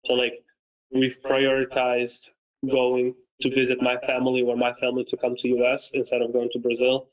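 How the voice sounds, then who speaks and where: A man's voice: mid-range voice, completely flat delivery, moderate pace, lo-fi sound. Romanian-born young man, earnest and reflective. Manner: earnest, reflective, many fillers and restarts. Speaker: guest, football creator and coach. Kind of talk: casual conversational interview. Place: lives in Massachusetts.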